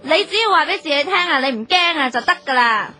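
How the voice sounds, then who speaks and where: High, bright, bossy young Hong Kong woman. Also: lively intonation, soft background music. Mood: indignant, bossy. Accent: Hong Kong Cantonese. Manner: emphatic, nagging, drawn-out sentence particles. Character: bossy, scolding young woman working as a strict driver-assistant. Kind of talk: Hong Kong radio comedy drama acting.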